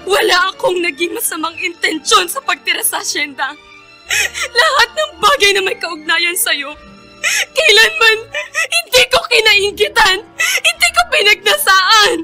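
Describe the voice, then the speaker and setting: A woman's voice: very high voice, expressive, unhurried, sharp tone, faint music behind, full character voice. An actress playing an anguished, wronged young heroine in a Filipino radio drama, crying as she pleads her innocence. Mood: tearful, vehement.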